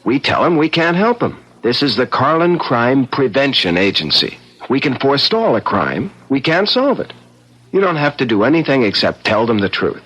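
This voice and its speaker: A man's voice: medium pitch, sing-song, moderate pace, fairly bright tone, breathy edge. An actor playing a brash, glib, money-hungry agency man in a 1970s radio drama. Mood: brash, eager. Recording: muffled old radio broadcast.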